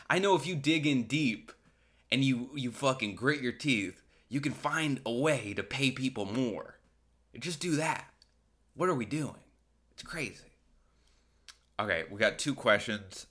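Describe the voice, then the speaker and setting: Bright-voiced man on a casual comedic rant. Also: lowish voice. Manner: profane, colloquial, sarcastic; acts out imagined quotes. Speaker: podcast host.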